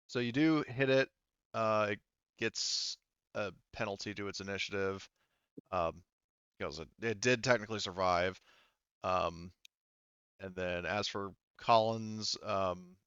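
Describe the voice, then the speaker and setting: A man running an online tabletop roleplaying game as game master, talking haltingly as he thinks aloud through the rules. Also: fairly low pitch, a bit bright, smooth, no room sound, dull audio.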